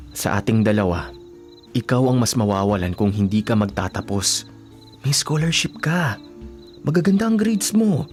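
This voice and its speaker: Aktor bilang maalagang binatang nobyo, mababa ang boses. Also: male voice, fairly low pitch, lightly acted. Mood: reassuring. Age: young adult. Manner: gentle, earnest, reassuring. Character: devoted, self-sacrificing young working-student boyfriend, gentle and reassuring. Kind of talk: Filipino radio drama acting.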